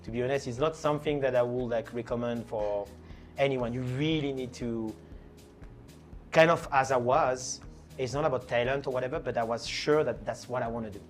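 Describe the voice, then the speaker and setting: A man's voice: medium pitch, a little fast, faint music behind. French guy chatting about his dj path. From France. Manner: chatty, many fillers and restarts. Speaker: DJ and producer guest. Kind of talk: casual interview chat.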